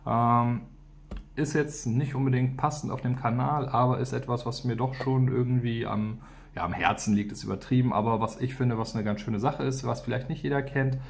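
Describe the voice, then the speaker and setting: A man's voice: mid-range voice, fairly even intonation, normal speed, creaky, a little hiss or hum. A man giving a casual, unscripted product walkthrough, informally thinking aloud as he goes. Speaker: product reviewer and teacher.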